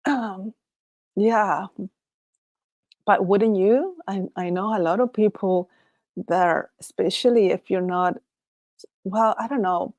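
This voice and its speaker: A woman's voice: medium pitch, a little mellow, no echo. Chilean-accented woman musing on a livestream. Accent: Chilean Spanish. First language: Spanish. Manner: musing, rambling, laughs often, trails off and restarts. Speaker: livestream host. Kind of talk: casual solo livestream chat.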